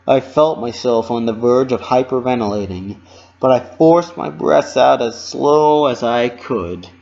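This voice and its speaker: A man narrating a first-person fan fiction story with an expressive, dramatic delivery. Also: mid-range voice, dull audio.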